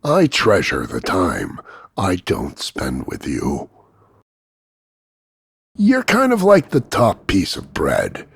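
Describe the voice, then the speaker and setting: Gravelly, cocky macho action hero. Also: male voice, low voice, takes it slowly, pauses often, dry recording, studio quality, exaggerated voice. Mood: mocking, smug. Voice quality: gravelly, husky. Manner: deadpan, drawling, mocking delivery. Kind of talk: scripted voice-over demo of one-line insults.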